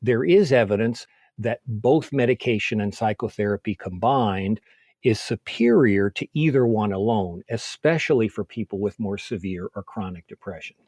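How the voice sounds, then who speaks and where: A man speaking as a medical expert in an interview, explaining clinical guidance in a measured, methodical way. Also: fairly low pitch, a little mellow, husky, dry recording.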